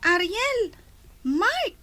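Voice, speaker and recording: Woman doing sweet little filipino schoolgirl, super high childlike voice, bit of music behind, radio drama. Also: very animated, very slow, pushed and bright, exaggerated voice. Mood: admiring, earnest. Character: sweet young schoolgirl, encouraging to her classmates. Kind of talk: Ilocano radio drama acting.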